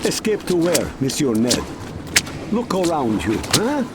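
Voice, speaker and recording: An actor playing an anxious, exasperated servant trapped on a submarine, agitated and pleading, in an audio drama. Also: male voice, a little high, wildly swooping pitch, measured pace, very noisy. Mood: alarmed. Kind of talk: cinematic audio drama acting.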